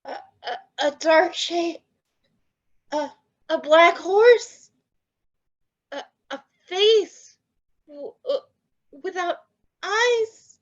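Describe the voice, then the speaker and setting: Actor as small scared hobbit: high-pitched, slow stammer, fantasy script read, muffled lo-fi sound. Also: fairly bright tone, heavily acted. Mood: terrified. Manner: stammering, halting.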